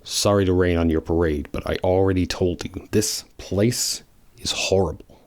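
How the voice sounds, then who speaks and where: A man's voice: low-pitched, steady tone, normal speed, a little mellow, close to an everyday voice. A man playing a brooding, guarded hedgehog antihero in a fan audio drama, speaking in a grave, terse way. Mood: grim.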